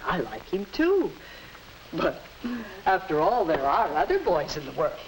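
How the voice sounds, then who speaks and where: A concerned, motherly middle-aged figure, speaking carefully in a lowish voice with lively swings of intonation. It sounds like 1950s instructional film drama, with slight hiss. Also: unhurried, heavily acted, low-quality recording. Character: concerned, gently probing mother of a teenage girl.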